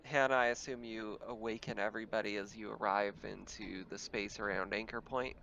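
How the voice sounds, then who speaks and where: A man's voice: on the low side, steady tone, brisk, vocal fry, sharp tone, lo-fi sound. A man running a tabletop game as game master, mixing casual narration with banter among players.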